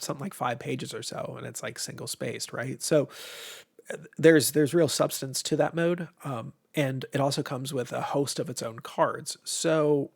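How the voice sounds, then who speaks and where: A man's voice: mid-range voice, vocal fry, breathy edge. A man from Ohio with an American accent hosts a casual livestream, rambling informally, sounding stuffed up with a tiring voice. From Midwestern US, Ohio. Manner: rambling, informal, mildly profane. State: congested with allergies, voice tiring. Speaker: host of a game publisher's livestream.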